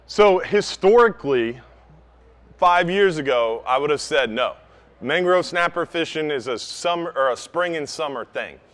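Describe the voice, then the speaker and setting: Emphatic man with a higher-pitched voice, teaching. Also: lively intonation, measured pace, a bit bright. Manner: emphatic, practical, speaking up to a group. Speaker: charter fishing captain giving a seminar. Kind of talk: informal instructional talk to a live audience. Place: inside a large outdoor goods store. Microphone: camera a few meters away.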